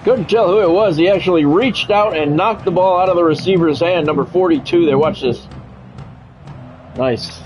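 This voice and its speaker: A man's voice: high voice, normal speed, darkish tone, low-quality recording. Man calling football plays over music. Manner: radio-style play-by-play delivery. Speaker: game streamer doing play-by-play commentary. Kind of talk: sports commentary mixed with casual chat.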